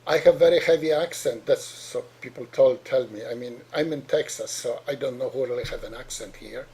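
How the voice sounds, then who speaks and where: A man's voice: mid-range voice, fairly quick, warm tone, slightly rough. A middle-aged man from Poland with a heavy Polish accent, a doctor giving an earnest explanatory talk to parents, often repeating and restarting words.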